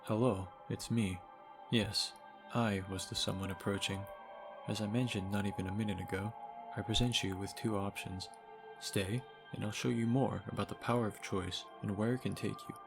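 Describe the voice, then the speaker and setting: A man narrating a scripted video game review in a sardonic, casually profane way, recorded on a low-grade microphone. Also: steady tone, moderate pace, gravelly. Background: game music.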